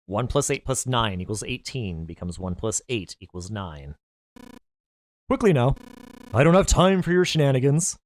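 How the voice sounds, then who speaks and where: A man playing a pompous, sadistic gentleman villain. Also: medium pitch, big pitch swings, normal speed, no room sound, voice pushed up, close to an everyday voice. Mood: cold. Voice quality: pompous, mocking, overly polite. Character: cruel, genteel middle-aged male villain. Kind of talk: audiobook character voice.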